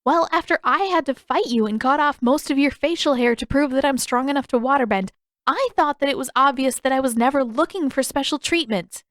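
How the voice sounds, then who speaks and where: A woman acts out a fiery teenage girl from a fantasy storybook. Her voice rises high and pushes forward with indignation. She sounds challenging and sharp, recorded close and dry. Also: brisk, no echo. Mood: indignant. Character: defiant, angry teenage girl waterbender.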